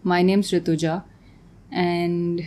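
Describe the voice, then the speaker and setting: Young woman from Tanzania, warm low tone, relaxed guest who laughs often and trails off. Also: takes it slowly, slight background noise. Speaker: guest artist. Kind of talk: casual interview chat.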